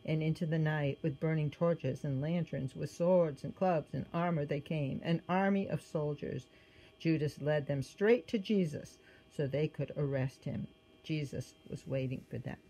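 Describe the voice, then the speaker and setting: Woman, low voice, storybook read-aloud, gentle, expressive. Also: breathy edge, poor audio. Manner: gentle, pausing to point at the pictures. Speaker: storybook reader.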